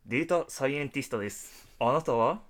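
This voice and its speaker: A man acts out an eccentric male data scientist for a radio drama. He is analytical and bossy, with a mid-pitched voice. He lectures in a pompous way, with the fervor of an obsessive fan. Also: lively intonation, quick pace, fairly bright tone, close to an everyday voice. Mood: brisk, questioning. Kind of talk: Japanese radio drama acting.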